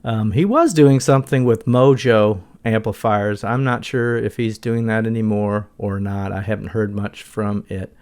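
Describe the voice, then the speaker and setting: A man's voice: on the low side, measured pace, few pauses, darkish tone, clear, resonant tone. A middle-aged man giving a casual walkthrough as a guitar gear reviewer.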